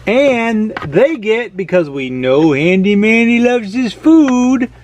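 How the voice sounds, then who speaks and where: A man's voice: sits high, sing-song, takes it slowly, a little mellow, slight background noise. A man playfully announcing a show as host, with a theatrical, grandiose manner. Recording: music behind the voice.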